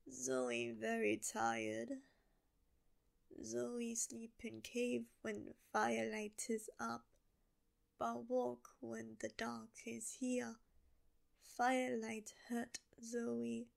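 A woman voicing a sleepy, friendly zombie girl who talks in slow, broken, simple words for an ASMR roleplay. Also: on the high side, vocal fry, full character voice.

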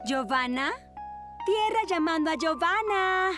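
A woman playing a confident teenage girl who loves spooky legends in a dubbed cartoon, expressive like a storyteller. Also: extremely high pitch, a little slow, music in the background. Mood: upbeat.

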